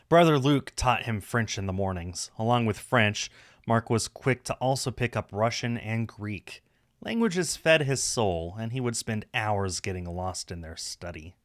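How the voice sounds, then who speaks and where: A man with a fairly low voice narrates a story. The sound is dry and close, with little room around him, as he reads scripted prose aloud. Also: no room sound. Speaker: streamer reading a visual novel aloud.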